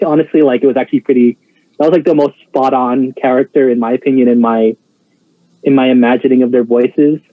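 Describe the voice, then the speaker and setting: A hesitant man talking over a reaction show, often pausing and restarting. His voice comes through thin and phone-like, with no room sound around it. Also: mid-range voice, dry recording. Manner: hesitant, many fillers and restarts. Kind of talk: casual chat. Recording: dry, tinny phone-like sound.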